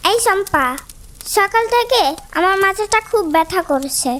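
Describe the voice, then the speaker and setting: A woman's voice: extremely high pitch, animated, normal speed, fairly bright tone. A woman voicing a haughty, spiteful young wife in a cartoon melodrama, scolding and domineering. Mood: demanding, irritated. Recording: music under the voice.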